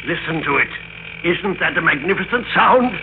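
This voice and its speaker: A man's voice: fairly high pitch, expressive, moderate pace, vocal fry, narrow, tinny audio. A man acting a pompous, smug company boss in an old-time radio drama, speaking formally and with polite condescension. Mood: grandly proud. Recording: thin band-limited old radio recording, noisy.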